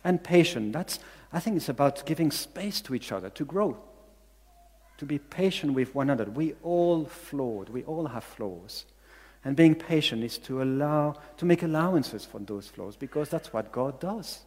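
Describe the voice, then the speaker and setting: A man's voice: mid-range voice, a little mellow. Belgian-accented man preaching in a reverberant hall. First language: not English. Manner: earnest, repeats key phrases for emphasis. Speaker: pastor. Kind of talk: sermon.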